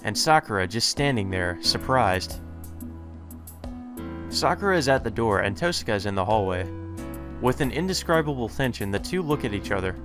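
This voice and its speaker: A man's voice: fairly low pitch, sing-song, normal speed. A man reading game text aloud as a let's player, informally, with casual asides and some stumbles. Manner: informal, stumbles and rereads lines. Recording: game music behind the voice.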